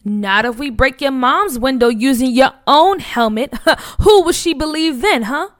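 A woman's voice: high-pitched, measured pace, no room sound, clean studio sound. A woman voicing a smug neighborhood bully boy who taunts and mocks. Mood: taunting. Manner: taunting, mocking.

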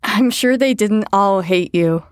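Woman playing a firm care worker, measured and controlled, audio drama dialogue, dry studio-close sound. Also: medium pitch, no echo, natural voice. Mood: patient, probing.

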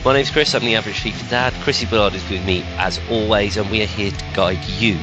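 A man's voice: on the low side, steady tone, quick pace, music in the background. A man hosting a casual podcast, chatty and laughing often, a bit nasal from a cold. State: has a cold, slightly nasal. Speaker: podcast host.

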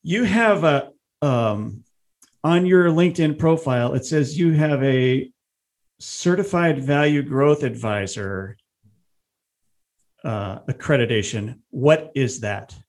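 A man's voice: mid-range voice, takes it slowly, darkish tone. An American man hosting a casual interview in an informal, chatty way, often thinking aloud. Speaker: interviewer, host.

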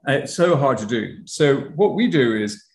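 A man's voice: mid-range voice, lively intonation, normal speed, darkish tone. An Irish man, a company founder, explaining his business in a conversational interview with frequent ums and restarts. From Ireland. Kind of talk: casual interview talk. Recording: roomy echo.

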